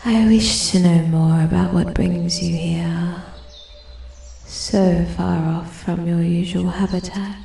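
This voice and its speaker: A woman voicing an ancient female forest spirit in soft-spoken ASMR roleplay, breathy and often whispering, formal, intrigued and quietly menacing. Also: on the low side. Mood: intrigued, hushed. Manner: slow, deliberate, archly formal. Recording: echoey, with steady ambient forest noise. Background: forest ambience, birds and animal sounds.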